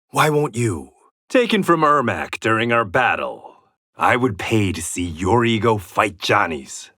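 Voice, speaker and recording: A stern, proud blind swordsman from a fighting game. His mid-pitched voice is sharp and forceful, swinging widely in pitch. He delivers terse, confident taunts with a wry edge, recorded completely dry. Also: no room sound, exaggerated voice. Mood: wry, guarded. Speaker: video game fighter character. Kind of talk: dramatic fighting game voice lines.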